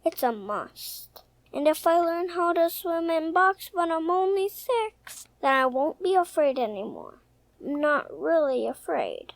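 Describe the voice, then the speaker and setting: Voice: very high-pitched, big pitch swings, measured pace, gravelly, dry recording, heavily acted. A young performer playing a shy, anxious six-year-old boy in a radio soap, polite, hesitant and earnest.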